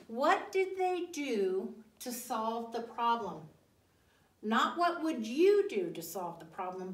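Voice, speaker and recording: A woman teacher leading a classroom reading lesson, speaking deliberately and didactically, pausing, repeating and putting questions to pupils. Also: on the high side. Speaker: elementary school teacher. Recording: roomy echo, distant mic.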